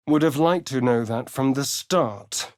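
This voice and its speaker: A man's voice: mid-range voice, a little mellow, no echo, studio quality. An actor playing a sly, vain male vampire rogue in a video game, with an arch, sardonic, theatrical drawl. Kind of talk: video game character voice lines.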